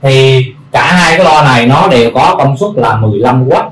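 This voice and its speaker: A man presenting products in an unscripted demonstration, explaining in a halting, repetitive way as he searches for words. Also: medium pitch, animated. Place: indoor room full of audio equipment. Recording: roomy, distant mic, faint background noise.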